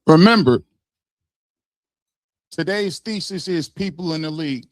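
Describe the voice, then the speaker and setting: A man with a notably high voice debates in a heated back-and-forth, firing off pointed questions one after another. His voice sounds close and dry, with no room around it. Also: no room sound. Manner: argumentative, fires rapid pointed questions. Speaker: co-host.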